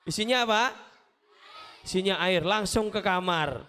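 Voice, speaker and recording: A man giving an animated, interactive Islamic motivational talk to schoolchildren, asking questions and repeating phrases. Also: high voice, unhurried, clean tone. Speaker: children's storyteller and motivational speaker. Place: live event at a school before children.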